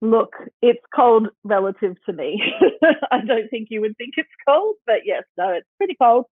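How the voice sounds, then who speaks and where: An Australian woman in her forties speaks as a podcast guest, easygoing and laughing often. Her speech is full of ums and pauses. The remote recording sounds tinny and phone-like, with a dry sound. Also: fairly high pitch, sing-song, dry recording. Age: 40s. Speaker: guest, dance studio owner. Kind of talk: casual interview chat.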